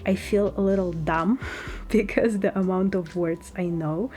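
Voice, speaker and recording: A young woman with a Russian accent talks through her day in a relaxed, chatty vlog voice at a middling pitch. Her English comes easily but with small grammar slips. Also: a little slow, soft background music. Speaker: lifestyle vlogger. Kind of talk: casual vlog narration.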